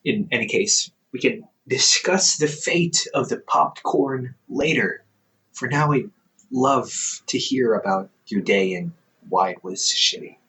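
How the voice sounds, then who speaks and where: A man's voice: medium pitch, measured pace, sharp tone, distant mic, low-quality recording. A man voicing a caring, goofy older brother in an audio roleplay, playful and chatty, laughing often.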